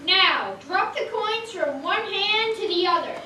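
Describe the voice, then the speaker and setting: A woman's voice: extremely high pitch, animated, unhurried, sharp tone, full character voice. A woman playing a stern, clever judge in a children's puppet show, speaking in a declamatory, commanding way. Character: stern, clever judge presiding over a village court. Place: community puppet show in echoey room. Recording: echoey hall, distant mic, faint noise.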